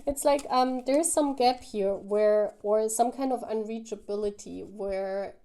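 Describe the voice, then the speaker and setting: A woman giving a casual, intuitive tarot reading to viewers, thinking aloud in a musing, halting way. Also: a little high, dark tone. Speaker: tarot reader, host.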